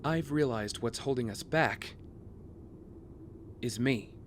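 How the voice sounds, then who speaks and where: A man acting a brooding, guilt-ridden young vigilante hero in an audio drama, speaking in an earnest, measured, confessional way. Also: mid-range voice, background noise, close to an everyday voice. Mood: serious, resolved. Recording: music bed under the voice.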